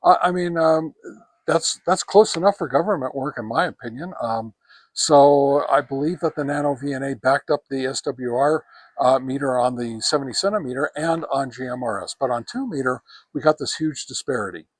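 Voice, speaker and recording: A man giving a casual product review outdoors on a backyard deck, talking through test results in a halting, folksy, conversational way. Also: medium pitch, no room sound. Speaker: host of radio gear review channel.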